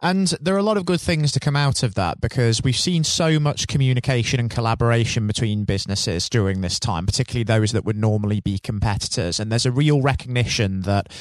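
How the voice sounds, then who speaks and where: A man's voice: mid-range voice, fast talker, few pauses, dry recording. A man hosting a leadership podcast, reading a formal introduction and then interviewing his guest. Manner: smooth, formal and polished. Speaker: podcast host.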